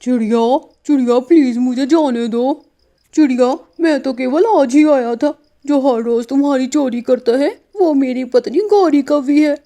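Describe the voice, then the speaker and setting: A woman's voice: high-pitched, moderate pace, warm tone, voice pushed up. A woman voicing a grumbling, gullible crow husband in a cartoon story. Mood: pleading.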